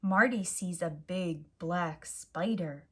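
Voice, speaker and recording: A woman reading a picture book aloud to young children in an expressive, deliberate manner as a storyteller. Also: on the low side, husky. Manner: slow, expressive, simple deliberate phrasing. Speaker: storyteller, preschool teacher.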